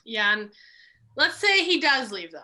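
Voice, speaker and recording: A young woman co-hosting a casual sports podcast, slightly raspy from a cold, conversational and asking her guests questions. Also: high voice, big pitch swings, measured pace. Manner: conversational, asks questions, trails off quietly. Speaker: podcast co-host.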